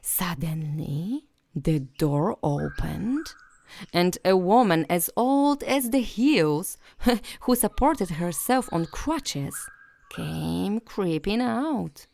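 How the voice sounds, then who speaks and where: A woman narrating a bedtime fairy tale as a storyteller, in a gentle, soothing and expressive way. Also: on the low side, very slow, gravelly.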